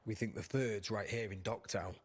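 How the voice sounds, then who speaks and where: An actor playing a sardonic, wary underground rebel in a video game, speaking drily and bluntly. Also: male voice, on the low side, steady tone, moderate pace, slightly rough. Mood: wary, businesslike. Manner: dry, blunt. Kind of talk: video game cutscene acting.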